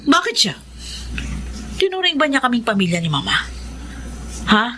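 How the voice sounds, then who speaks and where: A woman's voice: a little high, big pitch swings, normal speed, pushed and bright, a little hiss or hum. An actress playing a bitter, unforgiving middle-aged mother in a Filipino radio drama, indignant and confrontational. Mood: furious.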